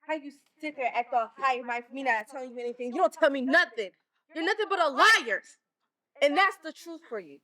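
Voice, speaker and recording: A woman's voice: sits high, expressive, quick pace, sharp tone. A woman playing a hurt, defiant teenage schoolgirl in an emotional, accusatory stage monologue. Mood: bitter, accusing. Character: hurt, defiant 16-year-old schoolgirl confronting her mother. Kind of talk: stage play acting.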